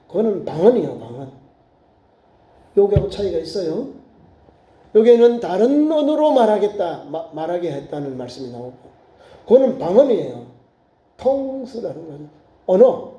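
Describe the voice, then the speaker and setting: A man preaching a sermon as pastor, acting out crowd voices in lively storytelling. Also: sits high. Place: church sanctuary. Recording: echoey church room.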